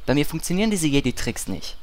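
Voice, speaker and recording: Man as a deadpan salesman, noisy ambience. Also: a little high, fast, close to an everyday voice. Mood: unimpressed. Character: blunt, unimpressed game-world mount salesman. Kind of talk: comic audio play acting.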